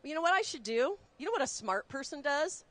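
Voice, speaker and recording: Woman chef from texas chatting thru a cooking demo, fairly high voice, laughs a lot. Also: sing-song, a little fast, fairly bright tone. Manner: chatty, explains while cooking, laughs easily. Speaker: guest chef. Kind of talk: live cooking demonstration. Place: studio kitchen.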